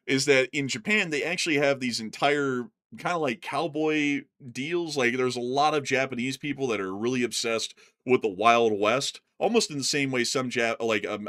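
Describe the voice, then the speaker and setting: Casual host, male, bright voice, rambles with fillers, talks while sharing his screen. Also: mid-range voice. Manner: rambling, lots of fillers, laughs easily. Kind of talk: casual chat.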